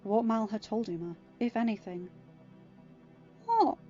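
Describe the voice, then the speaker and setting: A woman reading scripted fiction as an expressive storyteller. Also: mid-range voice, unhurried. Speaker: fan fiction narrator. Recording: dull lo-fi audio. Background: faint music.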